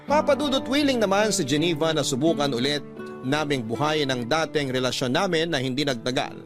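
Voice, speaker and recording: An actor playing a sincere man narrating his own love story in a scripted radio drama, measured and reflective. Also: a little high, lively intonation, natural voice. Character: sincere male letter sender recounting his love story. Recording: music under the voice.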